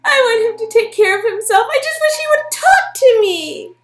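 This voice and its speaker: A woman's voice: very high voice, lively intonation, moderate pace, reverberant, faint music behind, pitched above the performer's own voice. A woman voicing a heartbroken, dramatic and clingy young woman in a dialogue performance of an interactive game. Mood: tearful, wailing.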